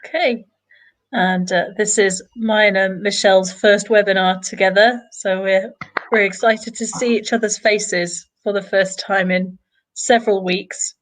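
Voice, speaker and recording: A woman's voice: mid-range voice, fairly even intonation, unhurried, poor audio. A woman with a British accent, talking conversationally as an English teacher co-hosting an online lesson.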